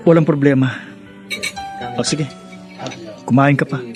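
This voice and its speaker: An actor playing a kind, open-minded older brother in a radio drama, reasoning and reassuring. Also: male voice, mid-range voice, fairly even intonation, a little slow, close to an everyday voice. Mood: warm, accepting. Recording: music behind the voice.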